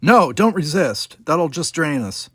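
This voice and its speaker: A man's voice: a little high, big pitch swings, a little slow, fairly bright tone, slightly rough, no echo, clean studio sound, voice pushed up, heavily acted. A man voicing a determined, defiant young cadet commander who barks clipped, urgent orders in an audiobook. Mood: firm. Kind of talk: dramatized audiobook dialogue.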